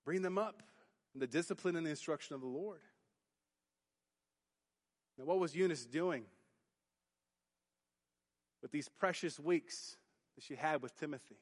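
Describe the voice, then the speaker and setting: A youth pastor preaching a sermon in church, booming then dropping to quiet emphasis. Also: male voice, a little slow, long pauses. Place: church sanctuary. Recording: long hall reverb. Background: hall reverb.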